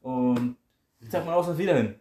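A young man rattles through a story at a quick clip, slangy and loose. His voice bounces around a roomy, echoey space. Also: mid-range voice, warm tone. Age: young adult. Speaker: friend in group chat. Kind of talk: casual banter, storytelling.

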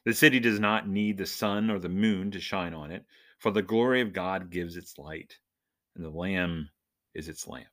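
A man with a fairly low voice that comes across bright and punchy. He hosts a podcast and speaks in a nerdy, jokey way. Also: moderate pace. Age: adult, husband and father. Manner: jokey, self-described nerd. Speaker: podcast host and church minister. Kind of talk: casual humorous podcast monologue.

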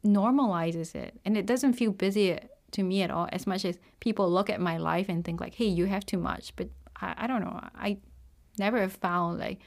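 A woman's voice: mid-range voice, normal speed, creaky. A young woman from Singapore, chatting as an interview guest and filling her talk with "like" and "you know". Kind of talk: casual conversational interview.

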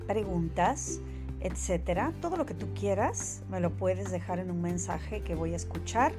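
Woman podcast host, chatty and loose, clear music bed underneath. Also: lowish voice. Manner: chatty, warm thanks with many fillers and restarts. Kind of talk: casual podcast announcements.

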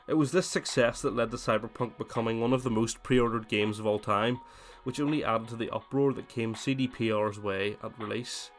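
A man with a cold hosting his gaming podcast, chatting casually and then reading a scripted essay in steady narration. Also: medium pitch, brisk, few pauses, soft background music. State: has a cold, voice slightly worn. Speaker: podcast host.

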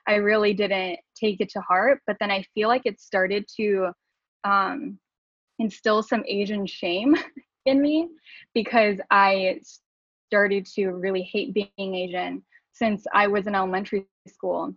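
A woman's voice: medium pitch, steady tone, unhurried, a bit bright, dry recording, lo-fi sound. A woman from Southern California who grew up speaking Mandarin and English, co-hosting a podcast in a candid, reflective way and laughing often. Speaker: podcast co-host. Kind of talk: casual conversation.

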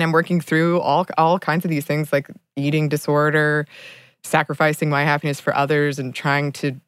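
A woman's voice: low voice, clean tone, no room sound, studio quality. A young woman co-hosting a book podcast, talking earnestly in a casual chat and laughing often between thoughts. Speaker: podcast co-host.

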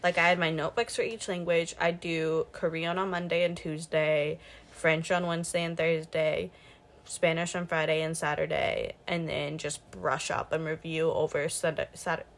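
Slightly low-pitched young woman, slangy and casual. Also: flat delivery, normal speed. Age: young adult. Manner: informal, slangy, mild swearing. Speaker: podcast co-host. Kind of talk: casual chat.

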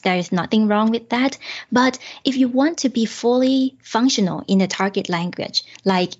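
A woman's voice: mid-range voice, a little mellow. A woman co-hosting a casual chat, explaining things thoughtfully and asking questions. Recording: dull lo-fi sound.

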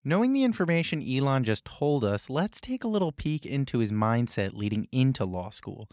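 A man's voice: mid-range voice, very animated, normal speed, a little mellow, no echo, studio quality. A male host narrating in a scripted way, speaking directly to listeners with a motivational push.

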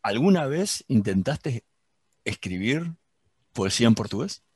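A man interviewing a guest, asking questions in a halting way that repeats words as he starts. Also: medium pitch, big pitch swings, darkish tone, slightly rough, no room sound, clean studio sound.

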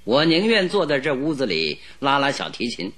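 A shrewd, self-assured detective from an old radio play. He presses and mocks with cool precision, his bright voice rising and falling in lively swings. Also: mid-range voice, moderate pace. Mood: coolly taunting. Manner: probing, mocking, coolly pressing. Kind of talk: radio drama acting.

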